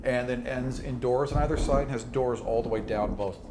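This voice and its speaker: Man, game master, mid-pitched voice, conversational improvised scene description, slightly noisy recording. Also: a little fast. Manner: conversational, descriptive, swears casually. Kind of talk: improvised tabletop game narration.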